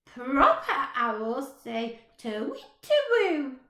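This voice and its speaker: A woman voicing a cheeky, bossy little girl who talks in a high, excited, know-it-all way, in a roomy, echoey room. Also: very slow, full character voice. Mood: bossy chatter. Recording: roomy echo.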